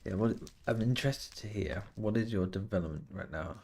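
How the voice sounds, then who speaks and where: Gravelly, sniffly male game streamer. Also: lowish voice, brisk, darkish tone. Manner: chatty, thinks aloud, reads chat. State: sniffly, nasal from a cold. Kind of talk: casual gameplay commentary.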